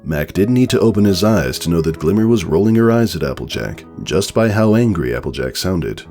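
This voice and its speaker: A man's voice: low voice, expressive, a little fast, dark tone. A man narrating a story as a dramatic, scripted reading. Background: background music.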